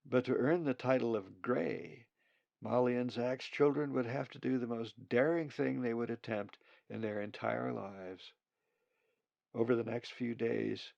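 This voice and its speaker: A man's voice: medium pitch, creaky, a little mellow, no echo, highs cut off. A man reading scripted storybook narration as the author of his own audiobook.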